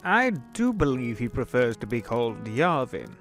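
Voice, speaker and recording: A man's voice: medium pitch, sing-song, moderate pace, a bit bright, soft background music. A man playing an urbane, sly old devil in an audio drama, wordy and courteous, savoring his phrases. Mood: probing, polite. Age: older.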